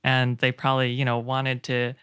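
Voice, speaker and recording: Smooth-voiced man, halting and thoughtful. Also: mid-range voice, monotone, a bit bright, no room sound, clean studio sound. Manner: hesitant, halting, thoughtful phrasing. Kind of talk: casual discussion.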